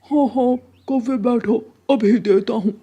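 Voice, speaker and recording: A distrustful cartoon bird shopkeeper, male and curt , played by a woman. His voice is husky and slow, with a dismissive, gruff manner. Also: fairly high pitch, dark tone. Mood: matter-of-fact.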